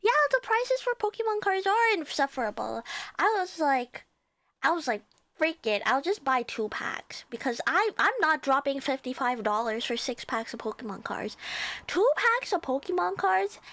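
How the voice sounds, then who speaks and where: A woman's voice: sits high, very animated, normal speed, fairly bright tone, no room sound. A young woman with a Cantonese accent chatting casually with her viewers as a livestreamer, chatty and giggling often.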